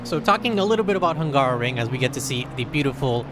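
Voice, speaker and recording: A man's voice: mid-range voice, lively intonation, racing. An American man giving casual, chatty commentary on a sim racing qualifying session. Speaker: race commentator. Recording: loud game engine noise behind.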